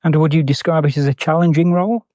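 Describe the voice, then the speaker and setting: A man interviewing actors with polite, measured questions. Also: on the high side, fairly even intonation, darkish tone, no echo.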